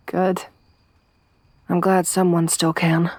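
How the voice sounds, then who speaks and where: A young woman voicing a tough, tomboyish survivor companion in an ASMR roleplay, speaking softly with a wry, weary warmth. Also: lowish voice, level tone, slow, no room sound. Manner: soft-spoken, wry, quietly reflective.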